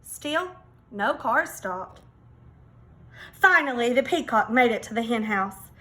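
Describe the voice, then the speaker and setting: A woman reads a picture book aloud for children. The voice is high and bright, the pace unhurried, with expressive, dramatic emphasis. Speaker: teacher reading aloud. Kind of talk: children's storybook read-aloud.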